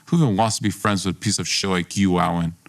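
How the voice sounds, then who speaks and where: A man's voice: deep voice, fairly even intonation, fast talker. A man playing a hostile, bitter young best friend in dramatized dialogue, accusatory and confrontational. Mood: contemptuous.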